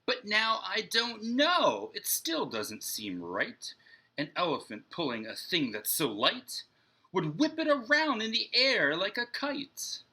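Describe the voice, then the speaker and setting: A man's voice: high-pitched, big pitch swings, slow pace, pushed and bright, slightly rough, pitched above the performer's own voice, exaggerated voice, low-quality recording. A man voicing an eager, boastful, imaginative boy in a dramatic, rhyming children's storybook read-aloud. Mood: excited. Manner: dramatic, emphatic, sing-song rhyme.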